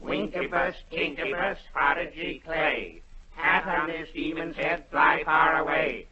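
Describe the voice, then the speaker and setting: An actor playing a solemn royal magician, chanting spells rhythmically in a 1940s radio drama. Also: male voice, on the high side, measured pace, a crowd in the background, natural voice. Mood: frenzied incantation. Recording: dull 1940 radio recording, loud music.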